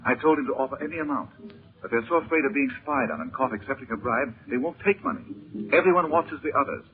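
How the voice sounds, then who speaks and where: An actor playing a steady, protective adventure hero, grave and earnest in 1930s radio style. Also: male voice, mid-range voice, fast talker, lightly acted. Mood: grave, reassuring. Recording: tinny narrow-band 1930s radio recording.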